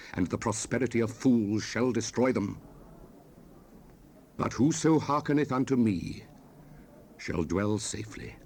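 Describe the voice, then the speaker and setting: A man's voice: lowish voice, a little mellow. An actor playing a stern fatherly biblical sage, declaiming scripture solemnly in an archaic style for a dramatized audio Bible. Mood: stern, impassioned. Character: stern fatherly biblical sage, exhorting and warning his son. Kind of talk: dramatized King James scripture reading, 1970s audio production. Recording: noisy raw cassette rip.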